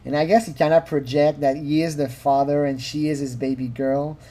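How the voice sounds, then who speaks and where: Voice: medium pitch, flat delivery, moderate pace, dark tone. A person giving informal, rambling commentary on a recording, often laughing through sentences and acting out mocking quotes. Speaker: video commentator.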